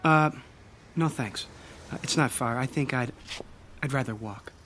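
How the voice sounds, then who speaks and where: An actor playing a timid, meticulous bank clerk in a radio drama, hesitant, stammering and deferential. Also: male voice, mid-range voice, measured pace, a bit bright, slight background noise, lightly acted. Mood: flustered, puzzled.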